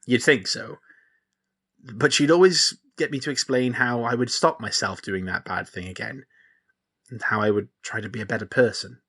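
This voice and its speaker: A man's voice: mid-range voice, moderate pace, a bit bright, slightly rough. An actor playing an earnest, sentimental young man in an audio drama, speaking sincerely like a lonely true believer. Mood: earnest, nostalgic. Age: young adult. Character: earnest, sentimental grown man who still believes in Santa. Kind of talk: naturalistic audio drama dialogue.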